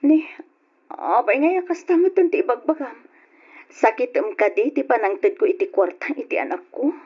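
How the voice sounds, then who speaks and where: An actress with an Ilocano accent playing an emotional, hesitant, pleading wife in an old radio drama, with a husky, expressive voice. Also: female voice, on the high side, normal speed. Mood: hurt, upset. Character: Irene, emotional wife and daughter, pleading, torn over money. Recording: dull, lo-fi old radio recording.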